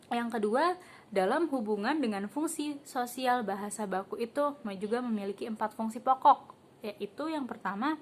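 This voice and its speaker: A woman's voice: fairly high pitch, a little hiss or hum. A young woman student teacher giving a casual classroom lesson, quizzing the class and calling on students by name, with casual filler words. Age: young adult. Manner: asks the class questions and calls on students by name.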